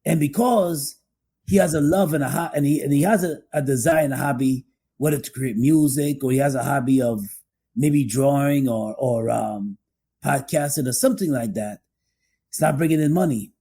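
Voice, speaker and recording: A man co-hosting a podcast, discussing relationships conversationally in a halting way, often repeating his phrases. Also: mid-range voice, gravelly, warm tone, slightly rough. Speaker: podcast co-host.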